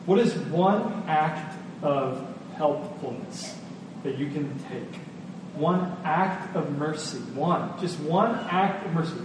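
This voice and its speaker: Slow-preaching man in an echoing hall. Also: a little high. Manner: deliberate, with emphatic repetition and pauses. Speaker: pastor. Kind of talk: sermon. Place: large reverberant room, live church service. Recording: echoey hall, distant mic, noisy.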